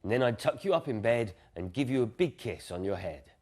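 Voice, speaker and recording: A man's voice: medium pitch, sing-song, a little fast, darkish tone. A man voicing a small, bossy and imaginative girl in a children's picture-book story, in a firm, matter-of-fact way. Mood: firm, caring.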